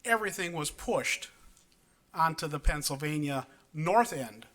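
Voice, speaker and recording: A man from Wisconsin speaking at a city plan commission meeting, earnest and halting, with many ums and restarts. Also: on the high side, expressive, a bit bright. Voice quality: big voice. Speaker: resident speaking at public comment. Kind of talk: public meeting comment. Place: council chamber.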